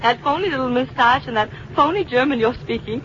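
A woman's voice: high-pitched, fairly quick, a bit bright. A woman acting in an old-time radio drama as a sardonic, plucky American schoolteacher, theatrical and wry in her delivery. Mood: amused, scolding. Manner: theatrical, wry, talking to herself. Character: sardonic, plucky American schoolteacher driving alone, shaken but defiant. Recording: dull, noisy old radio recording.

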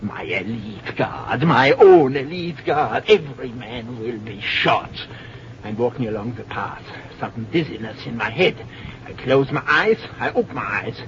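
An actor playing a paranoid, tyrannical German dictator in an old-time radio drama, with a German accent and a barking, clipped, imperious manner. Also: male voice, medium pitch, lively intonation, a little slow, lightly acted. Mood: brooding, paranoid. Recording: dull, noisy old radio recording.

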